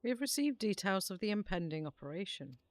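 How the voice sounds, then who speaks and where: A woman voicing a stern military commander, reading fantasy game briefing dialogue in a formal, deliberate way. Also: mid-range voice, sing-song, dry recording, lightly acted. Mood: stern, instructive. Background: background music.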